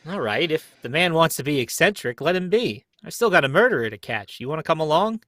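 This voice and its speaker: A man playing a gruff, practical police inspector, blunt and colloquial, in a live reading of a radio mystery script. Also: a little high, very animated, fairly quick, fairly bright tone, no echo, studio quality. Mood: dismissive.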